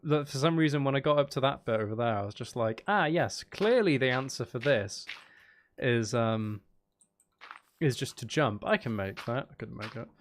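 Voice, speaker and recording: A man's voice: mid-range voice, expressive, moderate pace. A man chatting casually as he hosts a live gaming stream, with playful, teasing banter aimed at his viewers. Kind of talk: casual gaming livestream chat.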